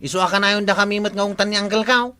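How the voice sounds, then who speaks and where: Sharp, blustering village bully. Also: male voice, sits high, steady tone, racing, smooth. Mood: accusing. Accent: Ilocano. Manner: blustering, accusing. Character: hot-headed, swaggering village bully lying in wait. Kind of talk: radio comedy drama acting.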